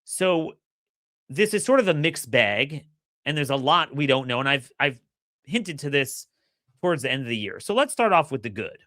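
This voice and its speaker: A middle-aged man with a slightly hoarse voice talks through his opinions in an energetic, forceful way, often stumbling over his first words. His voice is bright and pressing. The recording is dry and close, with no room sound. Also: medium pitch, normal speed, no room sound. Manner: energetic, opinionated, repeats words when starting sentences. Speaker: podcast host. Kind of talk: solo political commentary.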